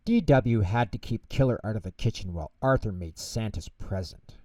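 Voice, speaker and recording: A man reading a children's bedtime story in an expressive, theatrical narration style, recorded in a roomy space from a distance. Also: on the low side, studio quality. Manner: measured, theatrical delivery with character voices. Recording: roomy echo, distant microphone.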